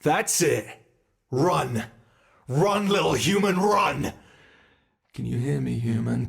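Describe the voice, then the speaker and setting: A man voicing a sadistic, playful ancient monster hunting human prey in an ASMR roleplay, taunting theatrically and slipping into breathy whispers. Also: medium pitch. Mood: excited taunting. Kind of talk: ASMR roleplay, scripted monologue.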